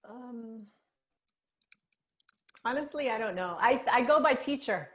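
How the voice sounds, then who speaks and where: A woman's voice: fairly high pitch, expressive, takes it slowly, fairly bright tone. A woman panelist answering candidly and informally in a casual online discussion. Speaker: author, panelist. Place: online video event.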